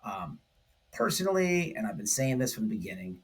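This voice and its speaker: A man's voice: fairly low pitch, sing-song, a little fast, breathy edge, poor audio. Emphatic man doing casual commentary. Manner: emphatic, slangy, repeatedly checks in with "right?". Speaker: YouTube commentary host. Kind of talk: informal true crime video commentary.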